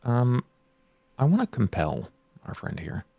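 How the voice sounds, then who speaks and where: A man's voice: mid-range voice, huge pitch swings, a little slow, vocal fry, mellow, no room sound. A man playing a tabletop game, talking casually and somewhat tentatively. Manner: hesitant, tentative.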